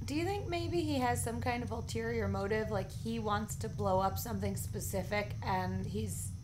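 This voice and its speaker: A woman with a somewhat high voice plays a tabletop game. She talks casually, with clearly audible noise in the background. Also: moderate pace.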